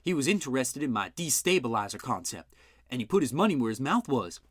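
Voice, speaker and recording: Blustering old inventor as a man puts him on, loud, grumbling, swinging pitch, dry close sound. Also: sing-song, fairly quick, no room sound. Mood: gruff.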